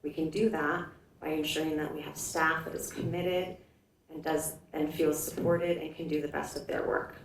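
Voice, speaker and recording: A woman's voice: low-pitched, little pitch movement, normal speed, gravelly. A woman from Hawaii giving a campaign speech at a forum as a candidate, in a conversational manner. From Hawaii, United States. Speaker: school board candidate. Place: indoor public forum room. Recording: echoey room from a distance, faint noise.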